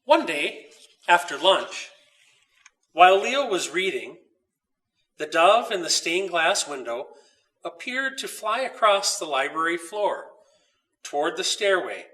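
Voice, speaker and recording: Guy reading a kids book out loud, careful and unhurried phrasing, bright forward voice, mic a bit far away. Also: high voice, sing-song. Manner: measured, deliberate storytelling with pauses. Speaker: author reading own story. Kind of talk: children's storybook read aloud. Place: old dairy barn.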